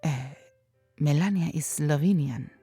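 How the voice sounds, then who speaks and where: A woman playing a deadpan, deferential housekeeper in a comic audio drama. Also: low-pitched, a little slow, husky, soft background music, full character voice. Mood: dry, formal. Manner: deadpan, deferential but correcting. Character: dry, unimpressed housekeeper in a telenovela parody.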